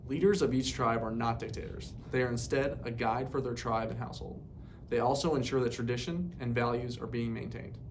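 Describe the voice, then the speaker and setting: Male narrator, medium pitch, formal scripted educational read, cheap microphone, clear low rumble behind. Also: fairly quick. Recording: poor mic, clear rumbling background noise.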